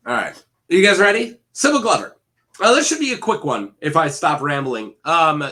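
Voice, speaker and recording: A man's voice: on the high side, bright, forceful tone. Chatty man with a head cold. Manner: rambling, chatty, many fillers and restarts. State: head cold, occasional coughing. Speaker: lore video host. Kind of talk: casual lore deep dive.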